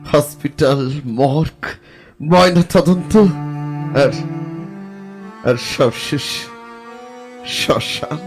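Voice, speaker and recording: A man's voice: fairly high pitch, gravelly, music in the background, exaggerated voice. A man acting a troubled, hesitant young man haunted by visions in an audio drama. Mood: grave, mournful. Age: young adult. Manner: halting, uneasy, dramatic.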